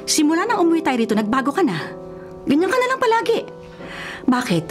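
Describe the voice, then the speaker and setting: A high-pitched, swooping Filipino mother in a radio drama. She is rich, domineering and quick to anger, scolding everyone with imperious melodrama. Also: big pitch swings, over music. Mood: nagging.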